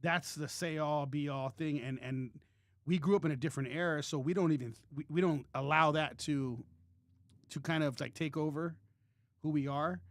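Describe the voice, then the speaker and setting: A man's voice: a little high, normal speed, no echo, studio quality. A man co-hosting a podcast in casual chat, rambling and joking with many restarts. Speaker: podcast co-host.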